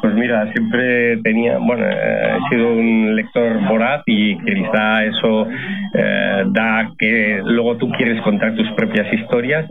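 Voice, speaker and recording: A man's voice: lowish voice, level tone, slow pace, creaky. Retired man, Catalan native, over a crowd. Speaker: novelist guest. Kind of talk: radio interview. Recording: phone-like radio broadcast with crowd behind. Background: crowd chatter.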